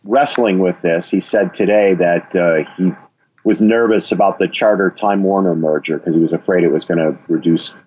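A man with a Wisconsin accent speaks as an interview guest, his voice somewhat husky and low. He talks emphatically and circles back on phrases to drive a point home. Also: fairly even intonation, normal speed, a little mellow, rough recording. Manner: emphatic, repeats phrases when stressing a point. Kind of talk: interview about radio policy.

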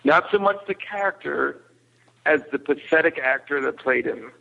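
A man's voice: a little high, animated, normal speed, vocal fry, phone-quality sound. A man chatting casually as a podcast guest, giving his opinions in a review.

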